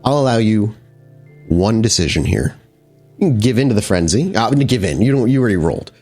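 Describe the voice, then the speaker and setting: Male game master, low voice, improvised dramatic narration with halting delivery, soft music underneath. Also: animated. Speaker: game master of a vampire tabletop game podcast.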